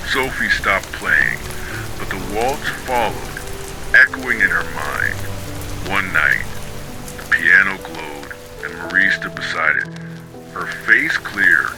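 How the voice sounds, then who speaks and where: A man with a low voice narrates eerie tales in a solemn, scripted style. His delivery is very unhurried and broken into short dramatic phrases, yet the pitch swings expressively. It suits a bedtime horror story. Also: low-quality recording. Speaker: narrator of ghost stories.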